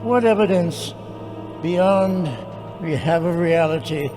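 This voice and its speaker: A man voicing the solemn ghost of a dead business partner in a live radio play, speaking slowly and gravely. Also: high voice, music in the background. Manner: slow, grave, probing. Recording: long reverb.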